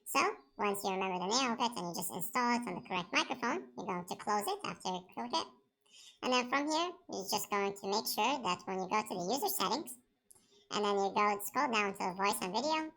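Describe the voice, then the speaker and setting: A woman narrating a step-by-step software tutorial as its host, in a plain instructional manner. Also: medium pitch, creaky, rough recording.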